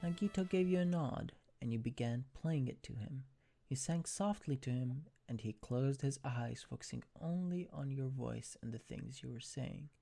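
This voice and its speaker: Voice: medium pitch, moderate pace, creaky, warm tone, soft background music. A narrator reading second-person fan fiction as scripted storytelling.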